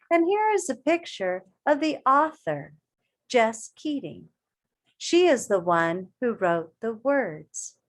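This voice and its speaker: A woman teacher reading a picture book aloud to children in an expressive way, pausing to ask her young listeners questions. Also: on the high side.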